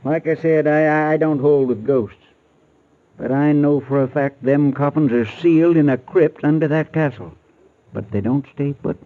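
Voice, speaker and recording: Man playing a high-pitched, folksy, foreboding rural local. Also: moderate pace, lightly acted. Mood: ominous. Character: ominous, superstitious rural roadside proprietor, wary local man. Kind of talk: 1940s radio acting. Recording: noisy, narrowband old radio recording.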